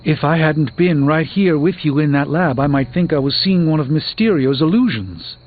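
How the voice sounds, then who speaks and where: An actor playing an earnest, formal superhero sorcerer burdened by regret in a video game, speaking in an articulate, measured way. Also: male voice, fairly high pitch, expressive, phone-quality sound. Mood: regretful. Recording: band-limited game audio with background music.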